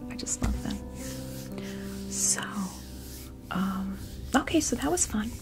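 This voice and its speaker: A woman hosting an ASMR doll unboxing, chatting casually and softly to camera, gushing over small details in an unhurried way. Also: mid-range voice, lively intonation, faint music behind.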